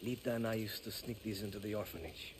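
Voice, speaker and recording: A skeptical young guerrilla recruit who questions everything in short, dry lines, voiced by a man. His voice sits low and plain. Faint ambient noise lies beneath. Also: quick pace, close to an everyday voice, poor audio. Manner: blunt, dryly skeptical. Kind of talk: video game cutscene acting.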